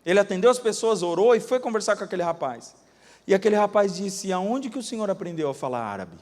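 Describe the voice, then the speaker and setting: A male pastor with a Brazilian Portuguese accent, preaching a sermon and telling testimonies dramatically, swinging between loud bursts and hushed asides. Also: sits high, expressive, quick pace, in a large hall. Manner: dramatic storytelling, acting out quoted voices, swinging from loud to hushed. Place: church.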